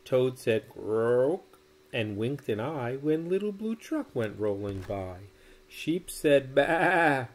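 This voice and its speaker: A man in his forties reading a children's storybook aloud in a measured, sing-song way. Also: medium pitch, very animated, dark tone. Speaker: storybook reader. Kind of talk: expressive children's read-aloud. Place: small indoor room.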